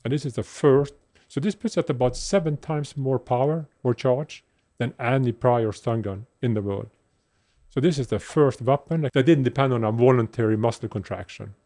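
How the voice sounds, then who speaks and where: Norwegian guy, engineer type, explains stuff plainly, mid pitch, podcast mic. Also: normal speed, mellow. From Norway. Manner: plainspoken, technical storytelling. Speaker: guest engineer. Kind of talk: casual chat.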